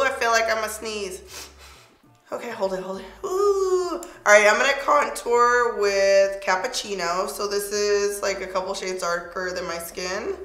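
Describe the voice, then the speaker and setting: A young woman chatting casually through a makeup tutorial, her voice a little hoarse and squeaky, playful and talkative as she works. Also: fairly bright tone, echoey room. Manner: chatty, playful, talks while applying makeup. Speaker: beauty vlogger. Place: home studio, pink backdrop.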